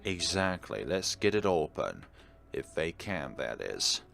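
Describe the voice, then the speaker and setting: A man's voice: low voice, very animated, a little slow, vocal fry. A man reading a visual novel aloud as a let's player, dropping in wry, casual commentary. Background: game music under the voice.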